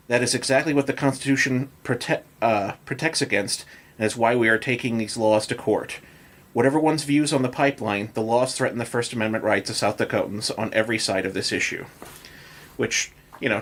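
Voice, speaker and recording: A man's voice: lowish voice, fairly bright tone. Casual American man hosting a podcast. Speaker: podcast host. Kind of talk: casual news and banter podcast talk.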